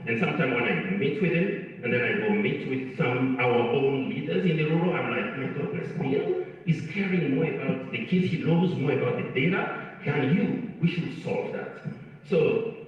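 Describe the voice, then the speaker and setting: A man from Rwanda, a foundation leader speaking on a panel, talking earnestly and haltingly with many restarts. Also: medium pitch, lively intonation. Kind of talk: panel discussion answer. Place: large convention hall. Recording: echoey hall, far from the mic, dull sound.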